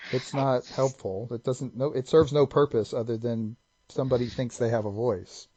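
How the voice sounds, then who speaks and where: A man's voice: medium pitch, steady tone, moderate pace, a little mellow, no echo, highs cut off. Dry-spoken man, close dry sound. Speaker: podcast co-host. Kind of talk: casual chat.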